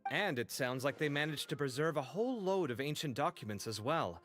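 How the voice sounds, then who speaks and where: Animated, amiable young scholar. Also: male voice, fairly high pitch, brisk, faint music behind. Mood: interested. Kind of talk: video game character acting.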